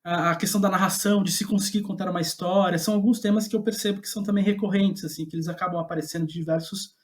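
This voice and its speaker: A man with a Brazilian Portuguese accent speaking as an interview guest, casual and reflective, halting as he thinks aloud. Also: high voice, steady tone. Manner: hesitant, halting, thinking aloud with repeated words. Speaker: podcast guest, playwright.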